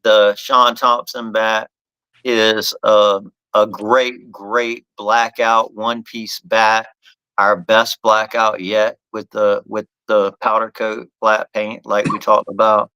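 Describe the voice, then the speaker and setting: A man with a bright, forceful tone and a smooth, crisp voice hosts a casual live podcast. He talks in loose, rambling runs, stumbling and restarting phrases as he goes. The sound is close and dry. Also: lowish voice, level tone, slow, no room sound, low-quality recording. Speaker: podcast host and bat company owner.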